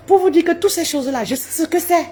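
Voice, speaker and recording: Animated woman. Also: quick pace. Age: 30s. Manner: expressive, swoops high for emphasis, drops low and quiet, laughs often. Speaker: live streamer telling her story. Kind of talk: animated personal storytelling. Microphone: phone on a live stream.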